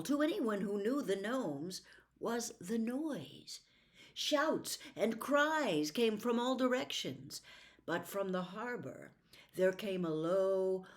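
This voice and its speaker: Slow-paced woman reading a storybook. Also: mid-range voice, darkish tone. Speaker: audiobook reader. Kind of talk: expressive scripted storybook narration.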